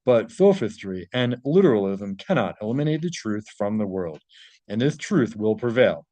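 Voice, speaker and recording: Low-voiced man reading aloud, dry close sound. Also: big pitch swings, normal speed, dark tone, dry recording. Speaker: narrator reading and commenting on an article. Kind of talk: reading a translated article aloud with informal commentary.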